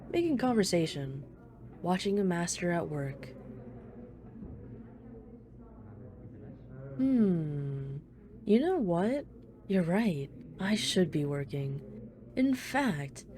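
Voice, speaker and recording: Woman playing a low, mellow, teasing female mafia boss. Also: a little hiss or hum. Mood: mock innocent. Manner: playful, smug, flirtatious banter. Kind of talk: ASMR roleplay, one-sided dialogue.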